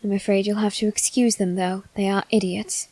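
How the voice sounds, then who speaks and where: A woman plays a soft-spoken demon servant, one of three brothers, in an intimate ASMR roleplay. He is courteous and formal, with a gently teasing edge. The sound is very dry, with no room around it. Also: medium pitch, level tone, brisk, no echo, natural voice. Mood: apologetic, courteous. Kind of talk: ASMR roleplay addressed to the listener.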